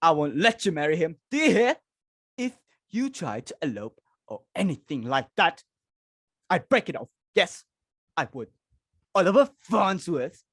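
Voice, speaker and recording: A woman's voice: sits low, husky, no echo, clean studio sound, full character voice. Woman acting a theatrical, flustered society hostess, wildly swooping. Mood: indignant, scornful. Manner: dramatic, exclamatory, repeating herself for emphasis. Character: flustered, imperious society hostess, theatrical middle-aged mother. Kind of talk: dramatic reading of a stage comedy.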